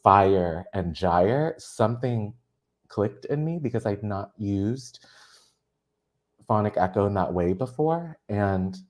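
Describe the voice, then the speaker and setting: A poet whose first language is Vietnamese, speaking earnestly about their craft in a lively conversation and laughing often. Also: sits low, slow pace. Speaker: poet guest.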